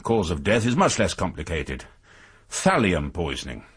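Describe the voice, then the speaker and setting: An actor playing a shrewd, methodical British police inspector in a radio drama, speaking in a measured, formal way with a husky voice. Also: male voice, low voice, sing-song, exaggerated voice. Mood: firm, official.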